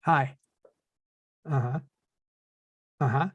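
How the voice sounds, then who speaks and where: Man playing reflective grown son, late twenties, script table read, medium pitch, dry sound. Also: drawn-out words, slightly rough, no echo, muffled recording. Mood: subdued, worried. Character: reflective son in his late twenties, narrating father's life.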